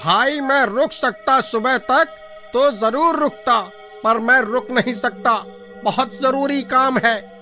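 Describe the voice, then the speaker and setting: A man playing a hurried, anxious traveller in a cartoon horror story, fretful and pleading. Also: very high voice, bright, forceful tone, faint music behind, full character voice.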